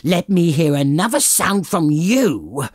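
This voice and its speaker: A man's voice: fairly high pitch, big pitch swings, slow pace, no echo, studio quality, pitched above the performer's own voice, full character voice. A man voicing a grumpy old miserly uncle in an audiobook, curt, indignant and scornful. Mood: threatening, sarcastic. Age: older. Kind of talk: dramatic audiobook character reading.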